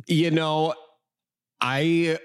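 A man in his late thirties with a Wisconsin accent, hosting a casual chat in an easygoing, halting way with many fillers. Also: on the high side, little pitch movement, clean tone. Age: 30s. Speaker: podcast host.

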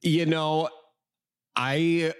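A man in his late thirties from Wisconsin with a Wisconsin accent, hosting a podcast in an easygoing, rambling way with "um" and "uh" pauses. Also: fairly high pitch, level tone, clear, resonant tone. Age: 30s. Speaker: podcast host. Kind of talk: casual podcast chat.

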